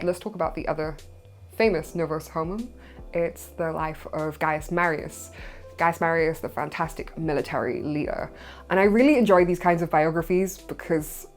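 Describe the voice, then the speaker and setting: Welsh woman host, young, low-pitched, informal history talk over faint music. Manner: chatty and informal, with loose asides. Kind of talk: casual educational monologue.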